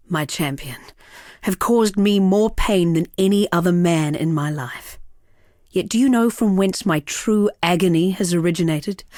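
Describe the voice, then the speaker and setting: An actress playing a cruel, seductive sorceress villain in a video game, speaking in a haughty, theatrical, deliberate and taunting way. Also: female voice, deep voice, darkish tone, no echo, studio quality. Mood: wounded, intimate. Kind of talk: dramatic video game character acting.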